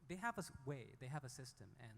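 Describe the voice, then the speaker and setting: A man from South Korea, an indie musician, speaking off the cuff and haltingly on a panel. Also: mid-range voice, very animated, gravelly, a bit bright. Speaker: indie singer-songwriter on a panel. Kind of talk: unscripted panel talk. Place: hall at a music conference panel. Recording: echoey hall with long reverb.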